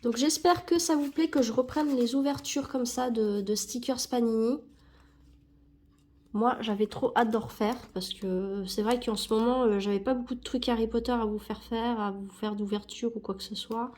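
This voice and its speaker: A woman's voice: on the high side, normal speed, darkish tone. A young woman with a cold, chatting casually and correcting herself with many fillers as she sticks stickers into an album. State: has a cold. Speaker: YouTuber opening sticker packs.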